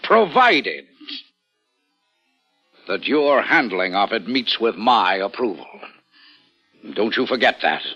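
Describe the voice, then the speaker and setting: Blustering, pompous rich uncle, old radio. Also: male voice, on the low side, very animated, slow pace, long pauses, bright, forceful tone, breathy edge, soft background music, exaggerated voice. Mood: stern, indignant. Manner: blustering, emphatic, repeats himself for effect. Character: pompous, scheming, domineering rich uncle and guardian. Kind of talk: 1940s-50s American radio acting. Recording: muffled vintage radio recording.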